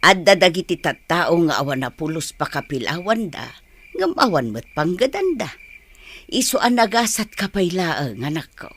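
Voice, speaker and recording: Woman acting older village mother, lively intonation, Ilocano Filipino accent, consoling, radio drama, faint cricket noise behind. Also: lowish voice, moderate pace.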